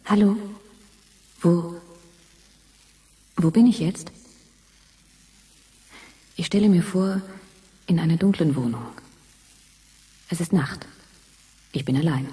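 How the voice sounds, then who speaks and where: An actress playing a dreamy young woman in a radio drama, speaking a hushed, breathy inner monologue with pauses. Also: on the low side, heavily acted. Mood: dreamy, searching. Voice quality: breathy, husky. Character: dreamy young woman, test subject of a time-jump experiment. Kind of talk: German science-fiction radio drama monologue. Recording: long reverberant hall echo.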